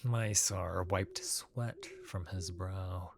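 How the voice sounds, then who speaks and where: A man's voice: sits low, fairly even intonation, very slow, gravelly, noisy background. A man reading a scripted fantasy story as narrator.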